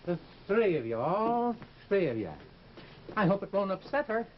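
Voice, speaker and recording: A man's voice: sits high, very animated, normal speed, slight background noise. An actor playing an anxious, fussy man of the house in old-time radio drama style, on a muffled vintage recording.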